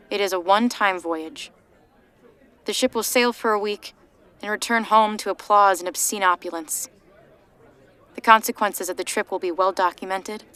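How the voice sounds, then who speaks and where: A woman narrating a scripted story in a measured, dryly ironic manner. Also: mid-range voice, a bit bright, slight background noise.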